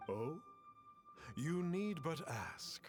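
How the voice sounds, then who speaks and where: An actor playing a pompous, cryptic masked sage in an anime video game, speaking in grandiose, archaic formal language. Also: male voice, on the high side, very animated, very slow, exaggerated voice. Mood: grandly courteous. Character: pompous, cryptic self-styled immortal sage, smooth-talking masked man. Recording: soft game music behind the voice.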